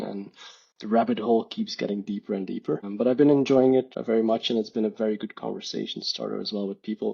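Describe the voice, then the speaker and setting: Gravelly low-voiced Belgian man, lo-fi. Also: a little mellow. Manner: thoughtful, hedging, many restarts and fillers. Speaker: podcast guest, author. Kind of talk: casual reflective conversation.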